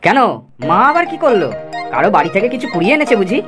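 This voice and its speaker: An easygoing village dad voiced by a woman in a children's cartoon. The delivery is lively and swooping, playful yet reasonable, with clear music behind it. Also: mid-range voice, rapid-fire, close to an everyday voice. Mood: curious, reasoning. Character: easygoing, teasing village father. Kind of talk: Bengali children's cartoon acting.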